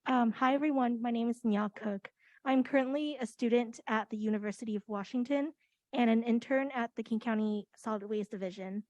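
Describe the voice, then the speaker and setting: A woman's voice: fairly high pitch, a little fast, a little mellow, no echo. Young woman, muffled sound. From Vietnam. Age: young adult. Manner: careful, filler-laden. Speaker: university student and community connector.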